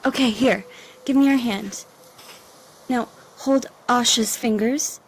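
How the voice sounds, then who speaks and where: An actress playing a protective, steadfast young woman urging a weary companion on in an audio drama, with gentle reassurance. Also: a little high, slow, breathy edge, full character voice. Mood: urgent, encouraging. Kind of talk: cinematic audio drama acting.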